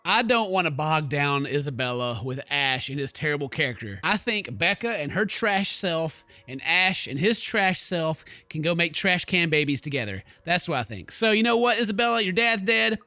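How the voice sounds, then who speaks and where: Bright higher-pitched man, sarcastic let's play host, theatrical, close dry mic, music behind. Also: animated, normal speed, few pauses, no room sound. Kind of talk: casual comedic game commentary. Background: game music.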